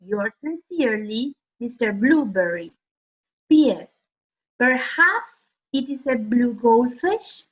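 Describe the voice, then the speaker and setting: A woman teacher reading a children's story aloud in a careful, measured and expressive way. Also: on the high side. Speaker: primary school teacher, co-reader. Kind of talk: slow read-aloud of story letters for children. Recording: dull lo-fi sound.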